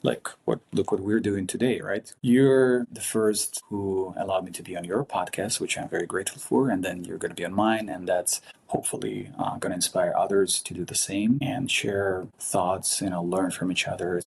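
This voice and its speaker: A man from Ukraine with an Eastern European accent, speaking earnestly and haltingly as an interview guest. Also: deep voice, fairly even intonation, gravelly, breathy edge. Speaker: podcast guest, investment fund partner. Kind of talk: casual interview talk.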